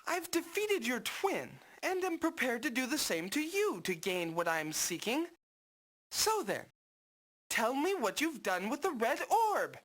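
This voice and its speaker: A woman's voice: fairly high pitch, sing-song, measured pace, fairly bright tone, full character voice. A woman voicing a small, earnest young game hero who speaks formally and politely. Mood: resolute. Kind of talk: 1990s game voice acting.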